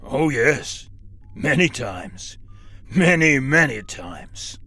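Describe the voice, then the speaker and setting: Sci-fi audio play. Imperious alien supervisor played by a woman, very deep voice, animated range, dry authority. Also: very slow, breathy edge, faint music behind, heavily acted. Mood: matter-of-fact. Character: weary, imperious celestial supervisor alien overseeing planets.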